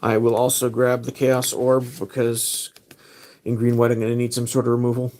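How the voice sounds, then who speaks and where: A man's voice: mid-range voice, monotone, normal speed, dark tone. A man hosting a casual livestream, thinking aloud as he picks cards. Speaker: livestream host.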